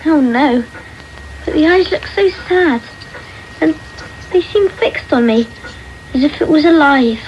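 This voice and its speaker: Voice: high-pitched, animated, a little slow, pauses often. A performer playing a polite, frail and innocent young orphan boy in a radio drama, meek and earnest. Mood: wistful. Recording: dull, faintly hissy radio tape.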